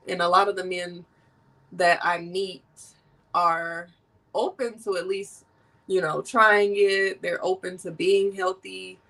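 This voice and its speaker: Woman host with a cold, nasal, lively and laughing, heard a little off-mic. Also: lowish voice, far from the mic, poor audio. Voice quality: animated and laughing often. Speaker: podcast host. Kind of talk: casual conversation.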